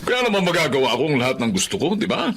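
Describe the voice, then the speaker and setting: An actor playing a greedy, mocking landlord who talks down to a poor widow in a sing-song, sneering way. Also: male voice, on the high side, big pitch swings, quick pace, fairly bright tone. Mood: scornful. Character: greedy middle-aged male landlord, mocking. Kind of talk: radio-style drama acting.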